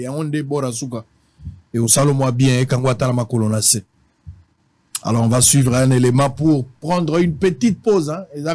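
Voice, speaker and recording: A male host with a Congolese accent and a medium-pitched voice. He talks politics casually, moving back and forth between languages. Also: a little mellow. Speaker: talk show host.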